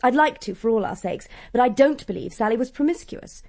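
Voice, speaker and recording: A woman's voice: a little high, animated, a little fast, a little mellow. An actress playing a strong-willed upper-class young woman in a radio drama, frank and probing. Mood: frank, challenging.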